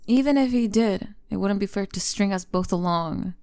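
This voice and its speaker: A woman's voice: mid-range voice, moderate pace, dark tone, clear, resonant tone, no room sound, clean studio sound, close to an everyday voice. A woman acting an exasperated but sensible teenage girl, a reformed bully, in a romantic audio roleplay, earnest and scolding.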